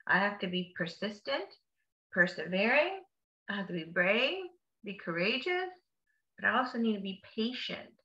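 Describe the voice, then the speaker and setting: A woman reading a picture book aloud to children in a teacherly, encouraging way, with drawn-out emphasis and simple explanatory asides. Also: mid-range voice, big pitch swings, bright, forceful tone. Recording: roomy echo, slightly dull sound.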